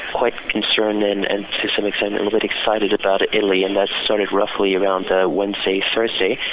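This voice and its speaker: A man giving market commentary as an interview guest over the phone, speaking in halting phrases with frequent uhs and repeated words. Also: fairly low pitch. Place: office, on a phone call. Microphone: telephone. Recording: tinny phone line with background chatter.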